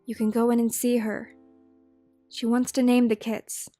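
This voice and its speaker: Storybook healer she-cat, read by a woman: subdued and hushed, faint music behind. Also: a little high, little pitch movement, a little slow, no echo. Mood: somber. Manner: quiet and subdued. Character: female healer cat, calm and sorrowful.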